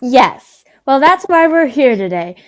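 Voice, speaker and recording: A woman reading the part of a brisk reality TV host in readers theater. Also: high voice, big pitch swings. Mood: presiding.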